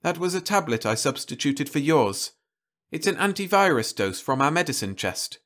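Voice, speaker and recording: Male reader as earnest woman astronaut, soft, higher voice, sci-fi storybook. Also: expressive, normal speed. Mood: calm, firm. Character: calm, earnest woman astronaut stranded with husband. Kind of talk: storybook reading.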